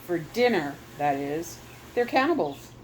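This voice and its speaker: A man's voice: on the high side, sing-song, a little slow, a little hiss or hum, poor audio. A woman voicing an anxious island missionary who warns his friends urgently. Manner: urgent, warning.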